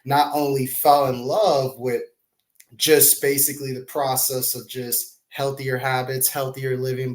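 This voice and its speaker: A male podcast host with a middle-pitched voice speaks encouragingly to his guest, stacking repeated phrases to drive each point home. His delivery has the even, steady rhythm of an interviewer.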